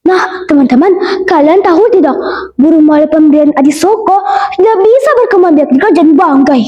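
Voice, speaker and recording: A woman's voice: high voice, brisk, dull audio. A primary-school girl from Central Sulawesi giving a rehearsed, dramatic and emphatic folktale narration as a storytelling contestant. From Central Sulawesi, Indonesia. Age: child, primary-school age. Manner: declamatory, emphatic. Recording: roomy, echoing sound.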